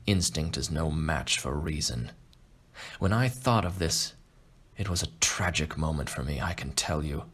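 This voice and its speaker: A man playing a refined, boastful aging Russian aristocrat and hunter in an audiobook, speaking in a measured, pompous way. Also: rumbling low, animated, fairly bright tone, slightly rough, heavily acted. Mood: self-assured. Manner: pompous, measured, self-satisfied. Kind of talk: audiobook character acting.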